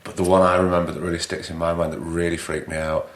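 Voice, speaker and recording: A man's voice: sits low, level tone, fast, reverberant. A man hosting a podcast, chatting casually as he interviews a guest. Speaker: podcast host.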